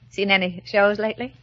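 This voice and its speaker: An actress playing a charming, proud lady politician with a coaxing, expressive delivery in an old-time radio comedy. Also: medium pitch, natural voice. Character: charming, flirtatious yet proud lady mayoral candidate. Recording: muffled vintage radio recording.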